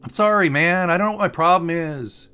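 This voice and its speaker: A man's voice: mid-range voice, expressive, normal speed, a bit bright, natural voice. A man playing a grouchy, restless man who grumbles with irritation, in a narrated story with music behind him. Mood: apologetic. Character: grouchy, restless man nursing martinis on a boat.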